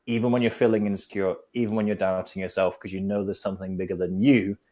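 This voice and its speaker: A man's voice: sits low, little pitch movement, quick pace, mellow. A man, a guest on a talk show, reflectively giving advice and building his points with analogies. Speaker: guest speaker.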